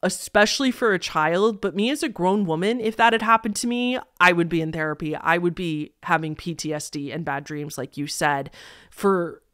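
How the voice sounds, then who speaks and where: Young woman, lowish voice, close and dry. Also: normal speed, a bit bright, clear, resonant tone, dry recording. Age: young adult. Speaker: host reading listener-submitted stories. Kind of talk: reading stories aloud with casual commentary. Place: small decorated indoor room. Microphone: close desk microphone.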